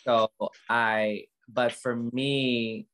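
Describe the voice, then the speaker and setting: Woman, fifties, low-to-medium conversational voice, earnest, halting delivery, repeated phrases, candid motivational talk. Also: fairly even intonation, a bit bright. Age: 50s. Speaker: guest.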